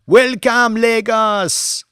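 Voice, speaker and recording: A man's voice: very high voice, fairly even intonation, very slow, sharp tone, smooth, no echo, clean studio sound, voice pushed up, exaggerated voice. A man voicing a fervent female African protest leader giving a declamatory rally speech in an audiobook. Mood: rousing. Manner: declamatory, exclamatory.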